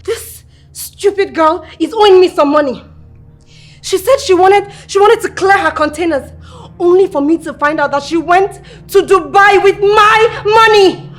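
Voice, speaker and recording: A woman's voice: medium pitch, very animated, a little slow, slightly rough, faint music behind. An actress playing a proud businesswoman who is tender with a child but explosive in anger. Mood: furious. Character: proud adult businesswoman, sharp-tongued and stubborn. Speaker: businesswoman running the family company.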